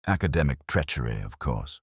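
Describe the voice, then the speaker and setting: A vain, tipsy professor from an audio detective drama, voiced by a male narrator. The voice is extremely deep and murmuring, full of sly insinuation. The sound is dry and close. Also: big pitch swings, a little fast, husky, no echo, heavily acted. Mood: sly, cynical.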